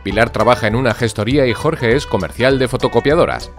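A man's voice: mid-range voice, fast. A man with an Andalusian accent narrating a radio drama's introduction in a storytelling manner. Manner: warm storytelling, hushed at times. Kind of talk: scripted narration. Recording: studio with guitar music behind.

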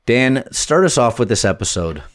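A Canadian man co-hosting a real estate podcast as a mortgage broker, talking in a relaxed, joking way. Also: medium pitch, moderate pace, no echo, clean studio sound. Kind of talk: casual podcast chat.

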